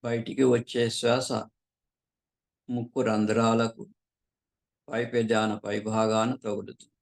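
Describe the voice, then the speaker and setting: A man leading a guided meditation as a teacher, calmly and unhurriedly, pausing often between short phrases. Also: fairly low pitch. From southern India.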